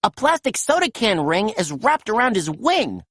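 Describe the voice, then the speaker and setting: A woman voicing a confident young boy who leads a team of rescue pups, calm and encouraging as he gives orders. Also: medium pitch, normal speed, breathy edge, dry recording, clean studio sound, voice pushed up.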